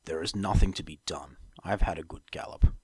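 A man voicing a wounded, resigned pony soldier in armor for an audiobook, with a low, gravelly, weary and formal delivery. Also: fairly quick, no echo, in a whisper. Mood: resigned, firm. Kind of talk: audiobook character voice.

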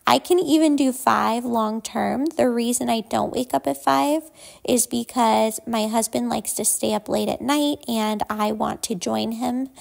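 A woman's voice: on the high side, a little slow, gravelly. A woman in her thirties giving a chatty, easygoing voice-over for her home cleaning vlog, explaining what she does as she goes. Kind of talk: casual voice-over narration.

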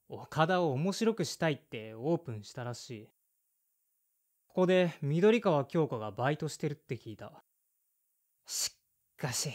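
男性が演じるぶっきらぼうな三十代の男. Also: male voice, on the high side. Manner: casual, blunt speech. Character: blunt, awkward man of thirty-five, brooding homecomer. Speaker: lead character and narrator. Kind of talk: audio drama dialogue and first-person narration.

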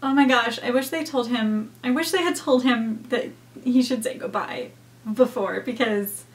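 A woman's voice: on the high side, moderate pace. A young woman hosting a reaction video from a room at home, talking casually and thoughtfully with many fillers.

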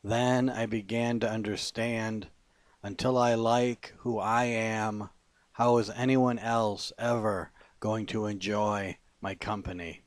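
Slow, low-voiced man reading poetry. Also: little pitch movement, dry recording. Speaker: poet.

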